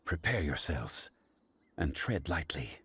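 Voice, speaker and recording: An actor playing a composed ancient male god in mortal form, speaking formally and gravely. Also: a bass voice, sing-song, heavy background noise, full character voice. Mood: solemn. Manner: measured, formal, solemn.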